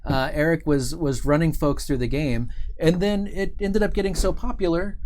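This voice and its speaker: A man hosting a casual livestream chat in an animated, chatty manner. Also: fairly high pitch, moderate pace, a little hiss or hum. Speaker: show host.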